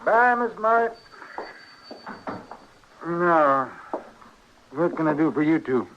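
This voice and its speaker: An actor playing a brisk, accommodating, slightly flustered storekeeper in a 1950s radio western. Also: male voice, a little high, sing-song. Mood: obliging. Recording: dull, noisy old radio recording.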